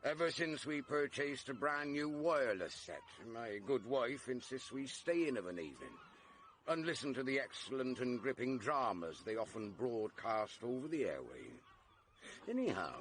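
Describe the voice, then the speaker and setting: An actor playing a ponderous English village police sergeant, politely insinuating, in a vintage radio drama. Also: male voice, medium pitch, big pitch swings, slight background noise. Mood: puzzled, probing. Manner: ponderous, deliberate, deferential yet insinuating.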